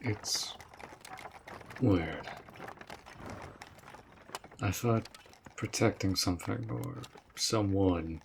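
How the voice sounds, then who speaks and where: Orc general, male, played by a man: lowish, unhurried delivery, gruff and protective, earnest and tender beneath, for roleplay audio drama. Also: background noise, full character voice. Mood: wistful, tender. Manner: slow, measured, earnest, with sighs.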